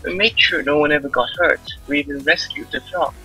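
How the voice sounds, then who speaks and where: A man's voice: on the high side, fairly quick, pushed and bright, breathy edge, faint music behind, full character voice, low-quality recording. A man voicing a playful, mischievous young village girl while reading a visual novel aloud. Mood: wistful.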